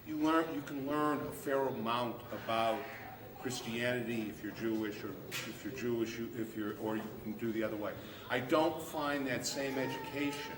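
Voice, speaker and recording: A man in the audience giving a halting comment to a panel, often rephrasing himself. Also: medium pitch. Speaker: audience member making a comment and question. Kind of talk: extemporaneous question to a panel. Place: large room at a public panel event. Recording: echoey room, distant mic, background noise.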